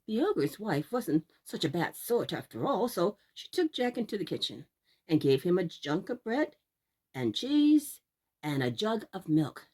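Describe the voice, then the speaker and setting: Slow, very expressive woman storyteller. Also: mid-range voice, husky. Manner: deliberate, drawn-out emphasis, addressing young listeners. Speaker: children's storyteller. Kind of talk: expressive read-aloud fairy tale narration.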